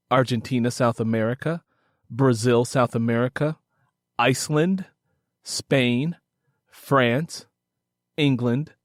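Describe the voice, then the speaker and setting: Slow-paced male podcast host, dry sound. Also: mid-range voice, dry recording. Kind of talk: scripted podcast announcement.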